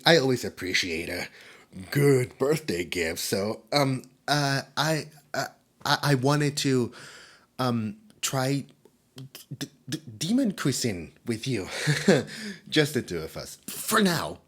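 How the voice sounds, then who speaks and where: A man's voice: mid-range voice, sing-song, slow, a bit bright, slightly rough, exaggerated voice. A man voicing a nervous, lonely demon host who stammers and giggles through an audio roleplay. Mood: awkward, giggly.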